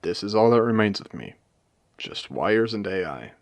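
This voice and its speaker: A man playing a courteous, eerie AI who hosts an abandoned post-apocalyptic casino in an audio drama, speaking theatrically with deliberate pauses. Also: big pitch swings, no room sound. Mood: wistful, insistent. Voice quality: low, creaky voice. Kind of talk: scripted character audio drama monologue.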